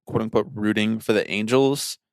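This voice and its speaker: A man's voice: lowish voice, steady tone, normal speed, vocal fry, no echo, studio quality. A man co-hosting a podcast in casual sports chat, blunt and opinionated, prone to swearing. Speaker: podcast co-host.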